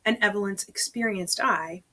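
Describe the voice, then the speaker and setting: A woman reads a novel aloud in an informal, conversational way. Her voice is a little husky and sits at a medium pitch. She often drops out of the narration to comment directly to the listener. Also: moderate pace, bright, forceful tone. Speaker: amateur read-aloud reader. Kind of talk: reading a novel aloud with chatty personal asides.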